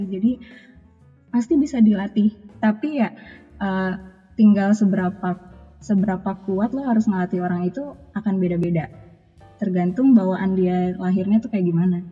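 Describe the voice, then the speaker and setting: A woman with a Jakarta Indonesian accent and a husky voice, chatting informally as a podcast guest and slipping in English phrases. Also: mid-range voice, normal speed. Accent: Indonesian, Jakarta colloquial. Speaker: podcast guest, musician and vocal coach. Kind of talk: casual interview chat. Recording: dull, echoey hall sound, music behind.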